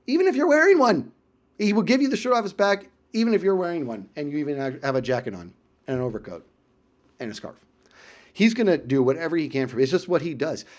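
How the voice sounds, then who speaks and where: An American man tells casual anecdotes as a video creator, in an animated way, chuckling and acting out exchanges with friends. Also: a little high. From United States. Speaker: video creator telling stories about friends.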